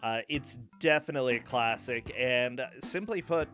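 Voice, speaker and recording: A man's voice: medium pitch, normal speed, a bit bright, faint music behind. A man hosting a streamed game show, chatting casually and joking playfully. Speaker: host.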